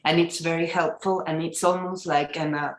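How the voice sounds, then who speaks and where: A woman's voice: deep voice, steady tone, moderate pace, echoey room. A woman therapist, asking a question over a video call and searching for her words.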